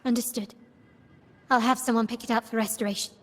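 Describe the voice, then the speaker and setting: A woman playing a composed, analytical young heiress in a video game, speaking in a measured, formal way. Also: on the high side, fairly even intonation, big-room sound, natural voice. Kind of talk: scripted game dialogue.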